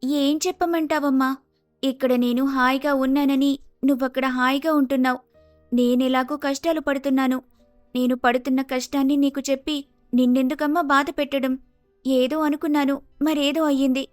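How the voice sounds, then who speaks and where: A woman plays a young wife in a family melodrama, plaintive and full of regret. The voice is very high and stays on a narrow band of pitch, in a dry recording. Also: flat delivery, moderate pace, no room sound, soft background music, lightly acted. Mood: sorrowful.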